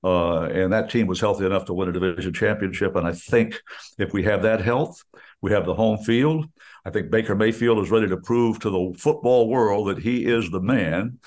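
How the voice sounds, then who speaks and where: An older man, a radio play-by-play announcer chatting in a folksy, rambling and animated way with lots of fillers. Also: on the low side, normal speed. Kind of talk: casual radio chat.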